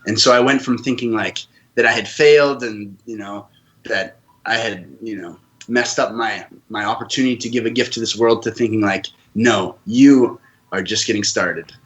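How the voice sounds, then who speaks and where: A man's voice: lowish voice, moderate pace. A man who grew up in Canada, a podcast guest telling his own story in an earnest, open way. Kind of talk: casual interview talk.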